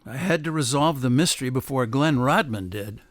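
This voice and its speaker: An actor playing a self-assured, probing lawyer who confronts suspects in a radio drama. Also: male voice, mid-range voice, lively intonation, no echo, studio quality, lightly acted. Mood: coy, reflective. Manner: deliberate, pressing, self-assured.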